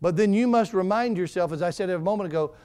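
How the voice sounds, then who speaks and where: A man in his forties preaching a fervent sermon as pastor, building on repeated phrases and swinging between quiet and loud passages. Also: high voice, quick pace, clear, resonant tone. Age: 40s.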